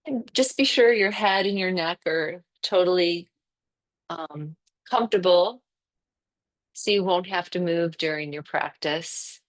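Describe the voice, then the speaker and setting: A woman teaching yoga nidra with a very slow, spacious delivery. Her voice sits a little low, and she gives gentle step-by-step cues for breathing and settling the body, leaving room between phrases. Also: low-quality recording. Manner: gentle, unhurried guiding with pauses. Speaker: yoga instructor.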